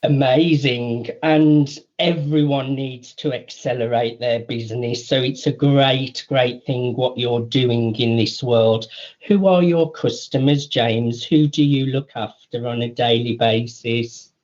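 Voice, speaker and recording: Slow-talking male radio host. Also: mid-range voice, fairly even intonation, darkish tone, lo-fi sound. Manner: slow, deliberate, enthusiastic praise. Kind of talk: radio interview hosting.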